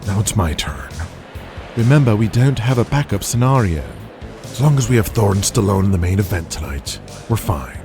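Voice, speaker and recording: Blunt, low-voiced wrestling boss. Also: male voice, expressive, normal speed, over music. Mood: tense. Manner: blunt, profane, commanding. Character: crude, scheming male 1980s wrestling promoter boss. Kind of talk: audio drama acting. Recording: noticeable background noise.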